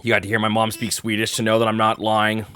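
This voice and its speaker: Low-voiced chatty young American man. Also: monotone, fast talker, bright, forceful tone. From United States. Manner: rambling, chatty, laughs often, restarts sentences. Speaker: gaming streamer. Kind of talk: casual chat while playing a game.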